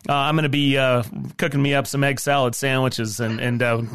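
A man's voice: mid-range voice, fairly even intonation, fairly quick, a bit bright, smooth, no room sound. A man hosting a golf radio show, chatting with folksy, upbeat banter. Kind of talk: sports radio interview.

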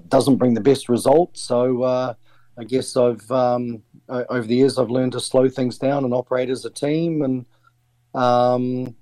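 A man's voice: mid-range voice, mellow, no echo. A middle-aged man from New Zealand with a New Zealand accent, a sailing skipper answering interview questions with many ums and restarts. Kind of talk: interview over the air.

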